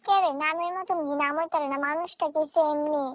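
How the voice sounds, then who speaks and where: A muffled phone line carries a high, quick female voice. She is a young caller, insistent and pleading in casual chat. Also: steady tone, no room sound. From Tripura, India. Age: 20s.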